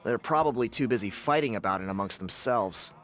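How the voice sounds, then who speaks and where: Lively, cocky young dancer. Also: male voice, fairly low pitch, brisk. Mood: concerned. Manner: breezy, self-assured, chuckling. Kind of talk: video game character acting.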